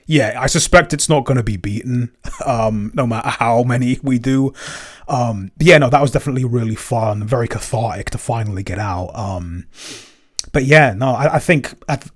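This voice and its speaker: A man's voice: mid-range voice, moderate pace. A man co-hosting a casual podcast, talking hesitantly with many ums and restarts. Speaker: podcast co-host and composer.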